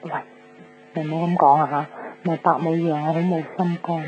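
A woman's voice: sits low, flat delivery, brisk, darkish tone, a little hiss or hum, close to an everyday voice. An actress playing a chatty, bubbly, teasing young woman in a radio drama. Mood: breezy, coaxing. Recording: slightly muffled radio recording.

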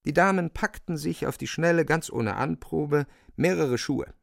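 A man's voice: fairly high pitch, normal speed, gravelly, dry recording, studio quality. A man narrating a radio drama in a scripted, theatrical storytelling style.

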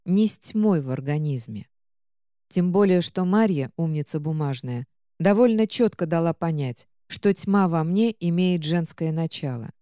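Woman reading an audiobook novel, lowish mellow voice, super dry sound. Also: lively intonation, measured pace, dry recording. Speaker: audiobook narrator. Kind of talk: scripted first-person fiction narration.

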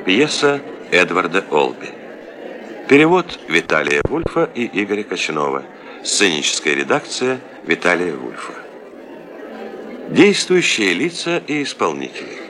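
A man giving scripted narration as a radio play announcer, formal and measured in his delivery. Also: sits low, huge pitch swings, bright, forceful tone.

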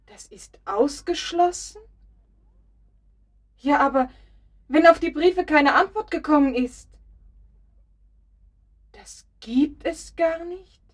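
An actress playing a worried, polite woman on the telephone in a German radio drama, hesitant and halting. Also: high-pitched. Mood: worried, crestfallen.

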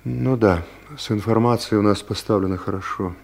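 A man's voice: on the low side, steady tone, normal speed, gravelly, darkish tone. A man playing a suave, courteous office boss in a radio comedy drama, familiar with staff and delicately evasive. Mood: delicate, confiding. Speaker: actor in an audio play. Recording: 1970s studio radio play recording.

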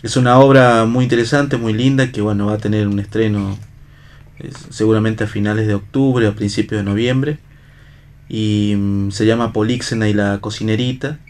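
A man with an Argentine accent talking casually as an interview guest, halting as he searches for words. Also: fairly low pitch, fairly even intonation, darkish tone. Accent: Argentine Spanish. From La Rioja, Argentina. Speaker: theatre actor and director, interview guest.

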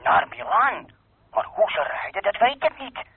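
A jittery, evasive petty crook in a radio drama. He hesitates and stammers in a somewhat husky voice. The sound is narrow and phone-like. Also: high voice, sing-song, normal speed, no room sound, exaggerated voice. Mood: nervous, evasive. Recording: tinny telephone-like old radio recording.

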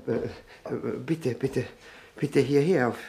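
An actor playing a nervous, self-abasing widower who drinks, stammering and repeating himself in an old radio play. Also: male voice, medium pitch, takes it slowly, creaky, dark tone, slightly rough, full character voice. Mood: flustered, obsequious. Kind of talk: 1960 German radio play acting. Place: radio drama studio.

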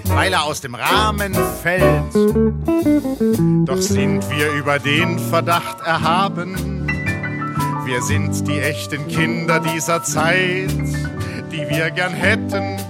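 An actor as a wry balladeer in a radio drama, delivering a satirical rhymed cabaret song in an unhurried, ironic way. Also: male voice, fairly high pitch. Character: male balladeer, wry satirist of busy modern people. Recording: loud guitar music with the voice. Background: plucked guitar.

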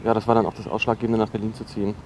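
An actor playing a defensive, awkward young dropout in a naturalistic radio drama, halting and repeating himself as he talks. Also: fairly low pitch, little pitch movement, darkish tone, lightly acted. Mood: awkwardly confiding. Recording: noisy location recording with ambient hum.

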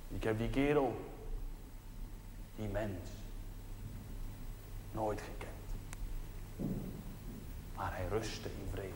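An actor playing a plain-spoken, earnest village chaplain, preaching a dramatic sermon in short, deliberate phrases. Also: male voice, on the low side. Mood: solemn. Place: large reverberant interior. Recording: echoey church hall, noisy old TV audio.

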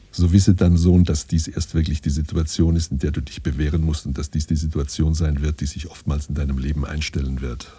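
A solemn patriarch lecturing his son in a radio play. The voice is extremely low and dark, with a heavily husky, breathy grain. He speaks in pompous, old-fashioned commands. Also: exaggerated voice. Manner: pompous, archaic, instructing in imperatives. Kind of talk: scripted radio play monologue.

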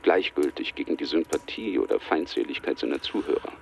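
A man narrating a radio drama in a hushed, atmospheric manner. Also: very deep voice, fairly quick, creaky, slight background noise.

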